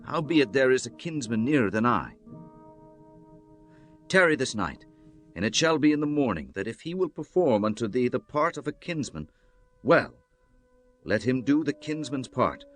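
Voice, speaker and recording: A man's voice: medium pitch, sing-song, normal speed, pauses often, faint music behind, natural voice. A man playing an earnest, honorable biblical landowner in solemn, declamatory scripture drama. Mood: gentle, reassuring. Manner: solemn, declamatory, archaic formal speech. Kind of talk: dramatized scripture audiobook acting.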